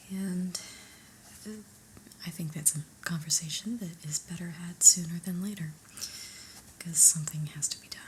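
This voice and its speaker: Woman playing a slow whispering, unimpressed office boss. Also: fairly low pitch, a little hiss or hum, rough recording. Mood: politely businesslike. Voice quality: soft, breathy whisper. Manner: measured, deliberate, corporate jargon, dryly disapproving. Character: unimpressed new female office manager, stern but politely corporate. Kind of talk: whispered ASMR roleplay.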